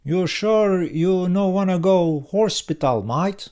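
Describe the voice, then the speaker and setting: Blunt, foreign-sounding taxi driver, raised pitch. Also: male voice, slow pace, darkish tone, clean tone. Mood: concerned, suspicious. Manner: foreign-sounding broken English, insistent. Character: blunt, suspicious male immigrant taxi driver.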